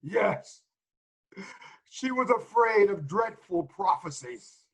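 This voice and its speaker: An actor gives us an old shepherd servant of Greek tragedy, reluctant and full of pity. His voice sits very high and he speaks slowly, with theatrical care. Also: sing-song, vocal fry, a bit bright, breathy edge, exaggerated voice. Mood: reluctant, fearful.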